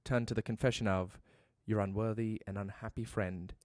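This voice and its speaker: A man's voice: fairly low pitch, fairly even intonation, moderate pace, darkish tone, close to an everyday voice. A young man playing a desperate, doomed Victorian doctor in an audio drama, speaking his letters in a grave, pleading, formal way. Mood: resigned, doomed. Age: young adult.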